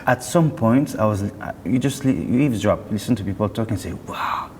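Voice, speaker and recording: Low-voiced man in a reverberant, noisy hall. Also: lively intonation. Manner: chatty, halting and self-correcting. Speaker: company representative being interviewed. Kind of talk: casual interview chat. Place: indoor hi-fi show. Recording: roomy hall, clear background noise.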